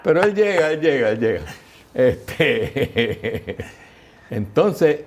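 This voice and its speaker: Husky older Puerto Rican man telling stories. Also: mid-range voice, takes it slowly. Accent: Puerto Rican Spanish. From Puerto Rico. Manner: lively anecdotal storyteller, mimics voices in quotes. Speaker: guest. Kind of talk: storytelling interview.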